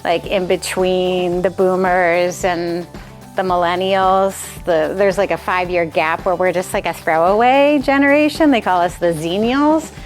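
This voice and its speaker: A woman's voice: fairly low pitch, fairly even intonation, a little slow, faint music behind. American woman in her forties giving a talk. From Massachusetts, United States. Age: 40s. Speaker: presenter, nonprofit president. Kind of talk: conversational presentation to a small group.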